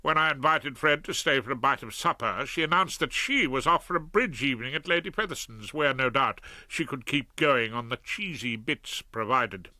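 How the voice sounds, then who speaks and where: Man with a bright, wry narrating voice. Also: medium pitch, lively intonation, moderate pace, hardly any pauses, dry recording. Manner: wry, dry, droll. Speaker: audiobook narrator. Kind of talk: first-person comic narration and dialogue.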